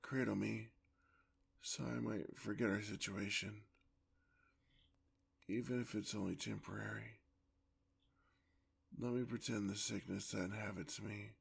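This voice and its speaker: Male actor as courtly male vampire, weary, tender, husky, unhurried, old-fashioned phrasing, ASMR roleplay. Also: on the low side, exaggerated voice. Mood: weary, yearning. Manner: formal, old-fashioned, endearment-laden speech.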